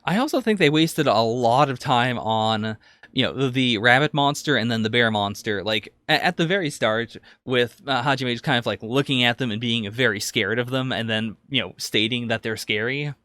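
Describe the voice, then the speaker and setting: A man's voice: medium pitch, moderate pace, pushed and bright, no echo. A man co-hosting a podcast, casually discussing anime and thinking aloud as he analyses it. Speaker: podcast co-host.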